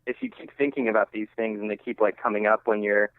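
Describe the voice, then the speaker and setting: A man giving a casual radio interview as a musician, talking informally and haltingly, working out each thought as he goes. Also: lowish voice, fairly even intonation, no room sound. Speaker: interviewed singer-songwriter and music teacher. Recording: thin phone-line sound.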